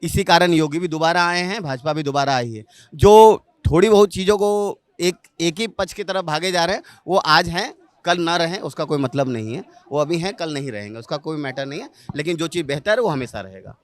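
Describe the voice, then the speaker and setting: Male interviewee from Banaras, emphatic and sure, high-pitched, dry recording. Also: fairly quick, dry recording. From Banaras, Uttar Pradesh, India. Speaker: member of the public being interviewed. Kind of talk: street interview opinion.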